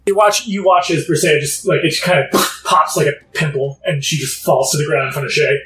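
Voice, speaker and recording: Man, game master, loose filler-laden narration, slightly high voice, roomy echo. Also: a little fast, far from the mic. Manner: relaxed, filler-heavy narration. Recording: echoey room, off-mic, faint music.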